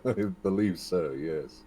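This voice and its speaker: A man with a deep voice joking and laughing with friends while playing a game. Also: expressive, takes it slowly, dark tone, husky. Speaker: player. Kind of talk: casual chat.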